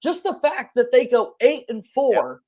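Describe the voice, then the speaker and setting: A man hosts a sports podcast with clear enthusiasm. He speaks in a conversational interview style, setting up topics and handing them to his guest. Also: extremely high pitch, big pitch swings, measured pace, a little mellow. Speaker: podcast host.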